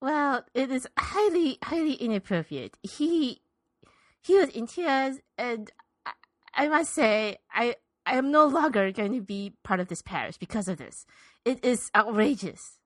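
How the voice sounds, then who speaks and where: Woman doing a high, flustered old lady. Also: animated, a little slow, fairly bright tone, no echo. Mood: indignant. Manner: stammering, flustered, outraged, repeats herself. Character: indignant, quavering, easily upset old woman parishioner. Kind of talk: improvised comedy sketch.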